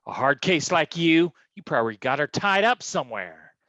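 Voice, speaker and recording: A blustering private eye from a noir stage comedy, acted out by a man, slangy and theatrical. His voice is bright and forceful, leaping around in pitch and sitting a little high for a tough guy, with a dry, close sound. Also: very animated, moderate pace, dry recording. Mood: exasperated bluster. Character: hard-boiled, blustering, dim male private detective.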